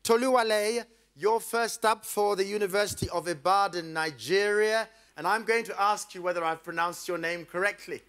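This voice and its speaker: Male quizmaster, a bit high-pitched, playful, doubles as show narrator. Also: moderate pace, a bit bright. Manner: playful, teasing banter. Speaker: quiz show host. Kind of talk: scripted narration and quizmaster questions.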